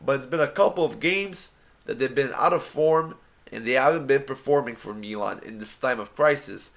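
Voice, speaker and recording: A man's voice: mid-range voice, normal speed, a bit bright. Man with a head cold reviewing football. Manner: earnest, opinionated fan commentary. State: congested with a bad cold. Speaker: football fan channel host. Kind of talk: match review monologue.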